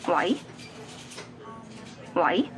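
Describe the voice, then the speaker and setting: An actress playing a blunt, ambitious young student girlfriend in a radio drama, matter-of-fact and dismissive, with a Hong Kong Cantonese accent. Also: very slow. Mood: cool, evasive. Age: young adult.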